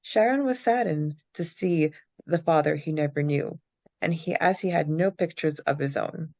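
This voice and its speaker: Low-pitched young woman, slightly dull audio. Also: normal speed, a little mellow. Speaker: podcast co-host. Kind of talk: reading a recap, then casual book-club chat.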